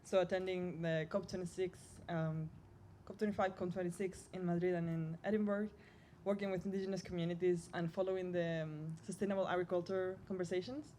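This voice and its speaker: A woman from Spain introducing herself on a panel in a measured way, with hesitant ums. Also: lowish voice, steady tone, dark tone, a little hiss or hum. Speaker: youth panelist.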